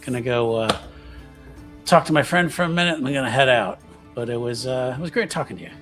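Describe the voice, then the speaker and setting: A man's voice: mid-range voice, fast talker, fairly bright tone, soft background music, lightly acted. A man voicing a short, tired, slightly tipsy paranoid man who talks in a casual, hesitant, tongue-tied way in a tabletop game. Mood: friendly, awkward.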